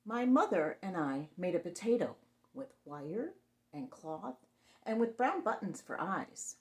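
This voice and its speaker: A woman's voice: medium pitch, big pitch swings, a little slow, darkish tone, echoey room. A woman hosting story time, reading a picture book expressively and explaining things simply for young children.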